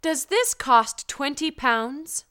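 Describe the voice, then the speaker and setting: A woman's voice: high-pitched, expressive, slow, fairly bright tone. A woman reading short practice sentences for language learners, carefully enunciated. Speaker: language teacher. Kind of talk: slow drill sentences for learners.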